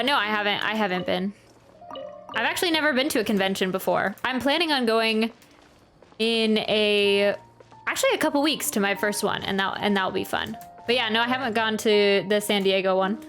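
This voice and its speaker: A woman streamer reacting to a game and chatting casually, rambling and often interrupting herself. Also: a little high. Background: soft game music.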